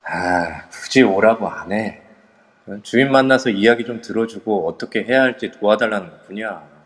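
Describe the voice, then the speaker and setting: A man's voice: lowish voice, moderate pace, in a large hall, lightly acted. A man playing an uneasy, determined young guy. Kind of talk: amateur audio drama acting.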